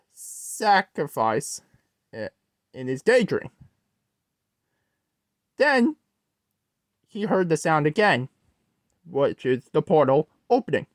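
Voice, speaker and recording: Storyteller for creepy internet tale: mid pitch, unhurried, halting, self-correcting delivery, dry sound. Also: a little mellow, dry recording. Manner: halting, corrects own wording mid-sentence. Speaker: host, storyteller.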